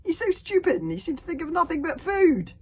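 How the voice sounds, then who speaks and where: Casting: woman as furious giant dragon warlord, storybook; growling, scornful, imperious, very animated. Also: extremely high pitch, a little fast, pitched above the performer's own voice. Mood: mocking. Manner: growling, forceful, sneering. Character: huge furious male dragon rebel leader, imperious, scornful.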